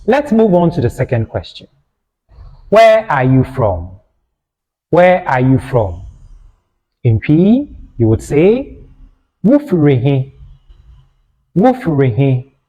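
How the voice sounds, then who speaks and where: A male language tutor speaks slowly and deliberately, with wide swings in pitch. His voice is soft-edged and mellow, and he carefully repeats phrases for beginners. The recording carries a long, hall-like reverb. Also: sing-song. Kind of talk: scripted beginner language lesson.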